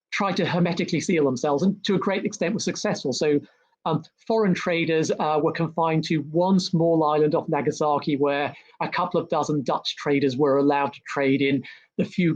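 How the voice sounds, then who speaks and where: A man's voice: on the high side, a little mellow, slightly rough, highs cut off. A man, a historian and author, talking as a guest in an interview, full of ums, restarts and repeated words. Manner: hesitant, many ums and restarts. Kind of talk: conversational interview about history.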